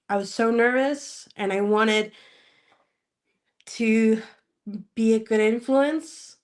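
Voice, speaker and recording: A woman from Spokane, Washington, speaking as a podcast guest in casual interview chat, laughing often and pausing to search for words. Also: on the high side.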